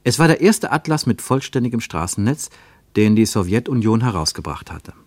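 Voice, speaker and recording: A man narrating a radio play in a measured, descriptive, poetic manner. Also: lowish voice, big pitch swings, no room sound.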